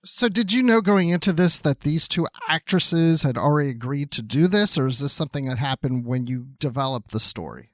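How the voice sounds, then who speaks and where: A man's voice: on the high side, expressive, a little fast, hardly any pauses, no echo, studio quality. A man hosting a podcast in casual interview chat, playful and teasing as he asks questions. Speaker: podcast host.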